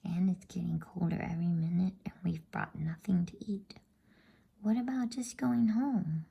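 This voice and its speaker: A woman voicing a cautious, reluctant older sister in a hushed, breathy ASMR storybook whisper. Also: fairly low pitch, normal speed, full character voice. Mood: doubtful.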